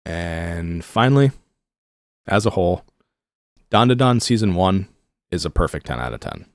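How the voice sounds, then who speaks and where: A man co-hosting a casual chat show, his voice going hoarse, reviewing with enthusiasm. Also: deep voice, animated, normal speed, no room sound, clean studio sound. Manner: enthusiastic, rating and reviewing at length. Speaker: podcast co-host.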